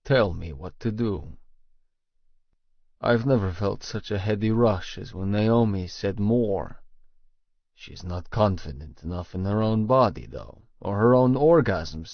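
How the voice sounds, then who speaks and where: A man with a gravelly voice narrating a first-person romance audiobook. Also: lowish voice, expressive, a little slow, no echo, highs cut off.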